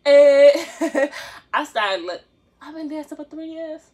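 A woman's voice: high voice, lively intonation, takes it slowly, darkish tone. Young woman, easygoing and playful, talking to viewers mid-stretch from the floor of a small room. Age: 20s. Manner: chatty, joking, lots of laughs and slang. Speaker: dance vlogger. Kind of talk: casual chat to camera while stretching. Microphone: phone camera on the floor.

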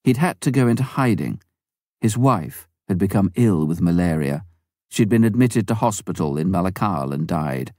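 A man narrates a memoir in a deep, warm voice. His reading is measured and even, recorded close and dry with no room sound. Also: dry recording. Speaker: audiobook reader.

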